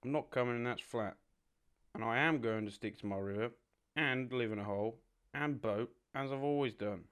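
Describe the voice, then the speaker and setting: A man's voice: mid-range voice, normal speed, a bit bright, clean tone, lightly acted. A man voicing a prim, stubborn male water rat in a fan audio drama.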